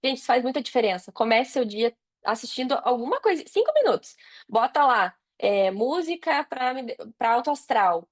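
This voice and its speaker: A woman's voice: on the high side, very animated, moderate pace. A woman with a Brazilian Portuguese accent, a podcast guest giving casual advice in an informal, anecdotal way.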